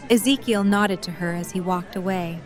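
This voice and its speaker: A woman's voice: lowish voice, moderate pace, faint music behind. A woman narrating a fan audio drama, reading scripted story prose.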